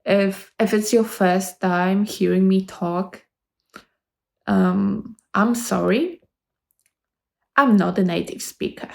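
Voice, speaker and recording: Polish-accented woman in her twenties, gravelly. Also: mid-range voice, roomy sound. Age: 20s. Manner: rambling, halting, many restarts. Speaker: vlogger. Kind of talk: casual chat to camera.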